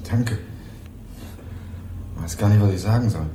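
An actor playing a young male student in a radio play, speaking quietly and with restraint. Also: fairly low pitch, moderate pace, reverberant, noisy background, close to an everyday voice. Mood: subdued. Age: young adult. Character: young male student returning home, subdued. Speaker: narrator and protagonist. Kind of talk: 1980s radio drama, first-person narration.

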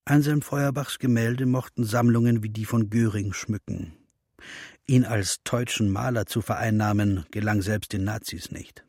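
A man playing a sober, sardonic chronicler, narrating in a measured, precise documentary style for a radio drama. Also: on the low side, steady tone, a little mellow, no room sound. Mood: matter-of-fact.

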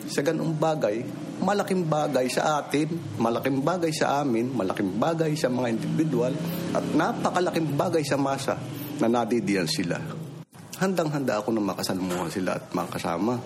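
A man's voice: mid-range voice, expressive, moderate pace. A man in his fifties with a Filipino accent, giving a plain-spoken, conversational personal testimony and repeating phrases for emphasis. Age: 50s. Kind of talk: personal testimony, informal storytelling. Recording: noisy field recording with ambient sound.

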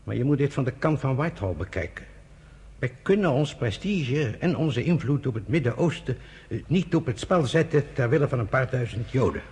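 An actor in a radio play, playing a pragmatic, avuncular senior British official with a husky, urbane, confiding manner. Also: male voice, mid-range voice, expressive, moderate pace, a little mellow. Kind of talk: Dutch radio play acting.

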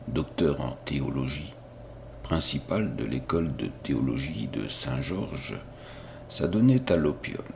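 A man reading an audiobook, narrating a classic detective story in a slow, deliberate way. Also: a bass voice, gravelly, background noise. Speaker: audiobook reader. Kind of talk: scripted literary narration. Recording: reading over background music.

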